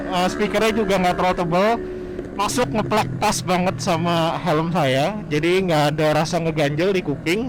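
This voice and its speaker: A man reviewing gear while riding a motorbike, talking in a raised voice through his helmet. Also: sits high. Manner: raised voice over riding noise. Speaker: product reviewer. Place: on a motorbike outdoors, wearing a helmet.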